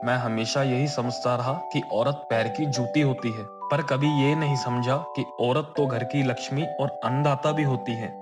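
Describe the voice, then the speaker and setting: A man's voice: mid-range voice, steady tone, moderate pace, hardly any pauses, lightly acted. A man playing a humbled, earnest husband begging forgiveness in a contrite, emotional manner for a melodramatic story dub. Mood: remorseful. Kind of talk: melodramatic Hindi moral-story dubbing. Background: prominent music.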